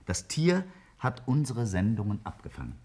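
A man's voice: fairly low pitch, big pitch swings, normal speed, a little mellow. A man playing a brilliant, self-assured scientist in a 1950s German radio drama, lecturing emphatically and brusquely. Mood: assured, explaining. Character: brilliant, self-assured male scientist explaining a daring theory. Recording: vintage 1950s radio play recording.

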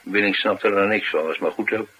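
An actor playing a tough, cynical, streetwise bodyguard in a radio play, talking in a colloquial, matter-of-fact way. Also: male voice, on the low side, brisk, pushed and bright, rough recording. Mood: coolly menacing. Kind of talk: naturalistic radio drama dialogue.

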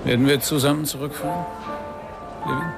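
An actor playing a brooding, guarded investigator in a radio crime drama, laconic and introspective, with a gravelly voice. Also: male voice, on the high side, fairly even intonation, a little fast, loud music behind the voice. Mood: subdued. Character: brooding, guarded investigator narrating his own case. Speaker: lead actor and first-person narrator.

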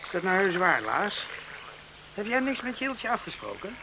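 A young island fellow in a radio drama. His voice is high and animated, teasing and curious. Faint outdoor noise lies behind him. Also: normal speed, gravelly, full character voice. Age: young adult. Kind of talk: Dutch radio drama acting.

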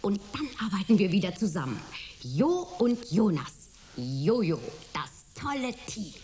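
Enthusiastic, headstrong young sidekick for radio play; mid pitch swinging widely, teasing and pushy. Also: female voice, big pitch swings, measured pace, warm tone, a little hiss or hum. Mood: insistent, playful. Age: young adult. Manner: gushing, teasing, insistent. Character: spirited, enthusiastic, headstrong young woman, detective's friend. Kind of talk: German sci-fi radio drama acting.

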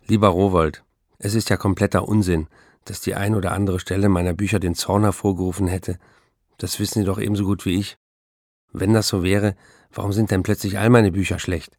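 An actor playing a driven, exacting novelist in a radio play, speaking precisely and emphatically. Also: male voice, low-pitched, fairly even intonation, fairly quick, darkish tone, no room sound, studio quality. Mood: indignant. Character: driven, exacting male novelist, defiant in his letters.

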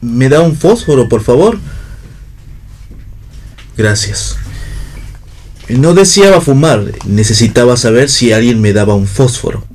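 An Argentine vagabond in radio theatre. He is idle and dreamy, speaking matter-of-factly in a medium-pitched voice. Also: expressive, unhurried, pauses often, darkish tone. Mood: calm, resigned. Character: plain-spoken, idle, dreamy male vagabond.

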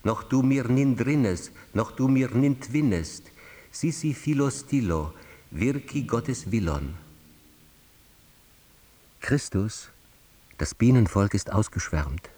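An actor in a radio drama playing a solemn chanter, reciting an old charm in a deliberate, commanding way. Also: male voice, medium pitch, animated, dark tone.

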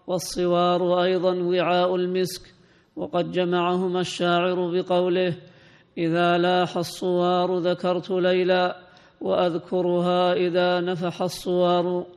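High, monotone male reader in reverberant hall. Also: clear, resonant tone. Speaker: student reading the text aloud to the teacher. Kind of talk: formal recitation of a classical religious text. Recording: reverberant hall with long echo.

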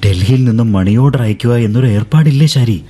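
A man's voice: mid-range voice, fairly quick, dark tone, husky, close to an everyday voice. An actor playing a young man urging the woman he loves to leave with him, in a radio drama. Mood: pleading. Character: young man pleading with his beloved, earnest suitor. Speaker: lead character. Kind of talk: 1970s radio drama acting. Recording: old radio broadcast with faint music.

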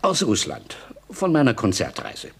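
A man's voice: fairly low pitch, huge pitch swings, a little slow, vocal fry, husky, full character voice. An actor playing an elderly musician father in a 1960s radio play, dryly teasing, courtly and theatrical. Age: older.

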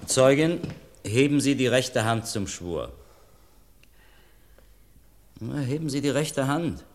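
A man playing an authoritative presiding judge in a radio crime play, formal, measured and commanding. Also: medium pitch, lively intonation, a little hiss or hum. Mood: formal, official.

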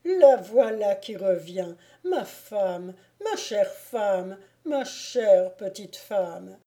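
A woman voicing an excitable, gullible male bailiff, a fussy and doting husband who exclaims, in a storybook reading. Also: a little high, slow pace. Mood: overjoyed.

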